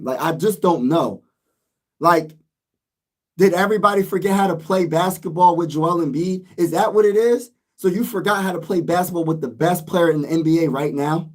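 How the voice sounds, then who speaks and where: A man losing his voice, ranting emphatically as a sports vlogger in a casual, frustrated way. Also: sits high.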